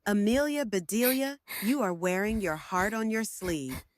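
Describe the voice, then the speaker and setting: A woman voicing a kind, reassuring schoolteacher in a storybook, speaking in a soothing, deliberate way. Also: mid-range voice, dry recording, clean studio sound, close to an everyday voice. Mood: concerned.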